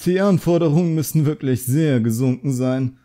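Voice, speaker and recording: A man voicing an arrogant, cruel young wizard in an audiobook, speaking slowly and condescendingly and savouring each word. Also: on the high side, mellow, voice pushed up, lightly acted. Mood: scornful. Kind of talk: audiobook character acting.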